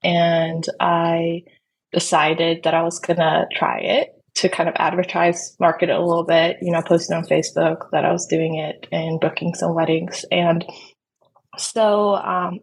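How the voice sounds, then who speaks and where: A woman's voice: lowish voice, flat delivery, unhurried, creaky, slightly rough, poor audio. A woman in her thirties from Sweden, a native Swedish speaker, telling her own story as a guest in a casual interview. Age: 30s. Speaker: podcast guest, wedding videographer.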